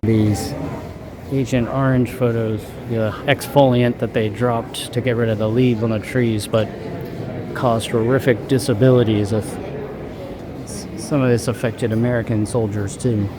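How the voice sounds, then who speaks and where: A man's voice: medium pitch, measured pace. Chatty man vlogging on a noisy street. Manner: relaxed, chatty, thinking aloud. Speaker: travel vlogger. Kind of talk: casual travel vlog narration. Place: outdoors in a busy city street. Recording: street noise behind. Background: traffic and city ambience.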